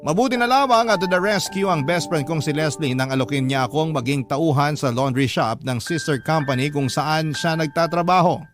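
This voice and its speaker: Guy telling a radio drama story, higher voice, emotional and confiding, music under it. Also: a little fast, hardly any pauses. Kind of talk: dramatic first-person radio drama narration.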